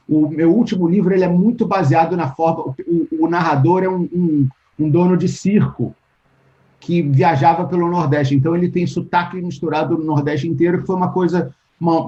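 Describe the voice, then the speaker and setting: A Brazilian man with a fairly high but mellow voice, heard over dull, lo-fi call audio. He talks slowly and reflectively, restarting sentences and acting out old conversations as he goes. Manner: thoughtful, restarts often, acts out quoted exchanges. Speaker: interview guest, writer. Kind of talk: casual interview talk.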